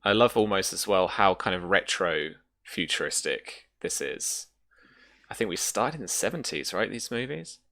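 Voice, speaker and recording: A man's voice: sits low, lively intonation, moderate pace, creaky, sharp tone. A man acting as game master, explaining and narrating a tabletop roleplaying game, often hesitating as he thinks aloud.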